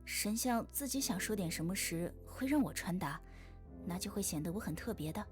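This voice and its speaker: A woman's voice: medium pitch, expressive, fairly quick, natural voice. An actress playing a shy, cute, earnest girl who passes on a statue's messages in a visual novel. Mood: modest. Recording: clear game music behind the voice. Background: game music.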